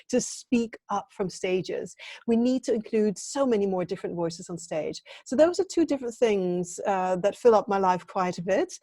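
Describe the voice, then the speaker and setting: A woman's voice: mid-range voice, mellow, no echo. A middle-aged woman from Denmark, a guest on a video call interview, answering in an earnest, thoughtful way with some fillers. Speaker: interview guest, training consultant.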